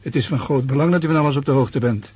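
Husky, courteous senior officer man acting. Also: mid-range voice, fast, darkish tone, dry recording, close to an everyday voice. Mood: welcoming. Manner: polite, obliging. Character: courteous, genial senior army commander. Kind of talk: radio drama acting.

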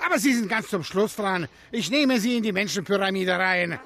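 An actor playing a boastful circus director in a radio play, with a theatrical barker's delivery. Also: male voice, high-pitched, moderate pace, background noise, close to an everyday voice. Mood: gruff.

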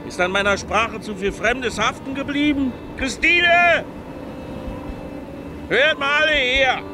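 An eccentric, lovelorn suitor in a radio drama, declaiming obsessively. The voice is extremely high and drawn out very slowly, with music behind it. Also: female voice. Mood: declaiming, odd. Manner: slow, declaiming, calling out. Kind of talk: radio play monologue.

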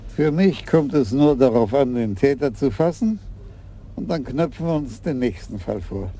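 An actor in a radio crime play, playing a shrewd older police chief who asks measured, probing questions. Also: male voice, mid-range voice, expressive, warm tone.

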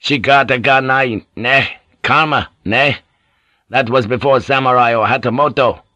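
A man voicing a scheming warlord who speaks halting, clipped English. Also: medium pitch, no room sound, voice pushed up. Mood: weary, earnest. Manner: clipped broken English, insistent, softly persuasive.

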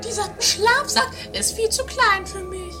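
Extrem hohe, theatralische Zeugin. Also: female voice, extremely high pitch, normal speed, over loud music. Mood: petulant. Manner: theatrical, demanding, gossipy. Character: chatty, vain, impatient female murder witness. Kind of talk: anarchic comedy radio play acting.

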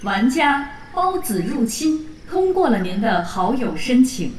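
A polite female game system with a high, lilting voice. It delivers formal automated notifications, echoing, with music behind. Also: very animated, unhurried, a little mellow, far from the mic. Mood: neutral, formal.

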